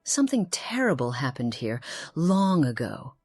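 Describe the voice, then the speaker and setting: Woman acting an aloof, very low-voiced otherworldly woman. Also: sing-song, darkish tone, no room sound, soft background music, heavily acted. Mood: fascinated, solemn. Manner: formal, measured, hesitant phrasing. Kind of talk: scripted fantasy game dialogue.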